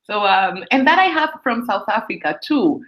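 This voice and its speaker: A woman with a Puerto Rican accent answering interview questions conversationally, often pausing to search for words and repeating phrases. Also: mid-range voice, big pitch swings, lo-fi sound. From Puerto Rico. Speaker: interview guest, astronomer.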